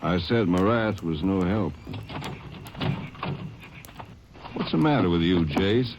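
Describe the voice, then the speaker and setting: Low-pitched Ranger sidekick, noisy radio. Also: male voice, animated, moderate pace. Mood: skeptical. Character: plain-spoken, questioning male Texas Ranger sidekick. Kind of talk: 1950s radio drama acting. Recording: muffled, noisy 1950s radio recording.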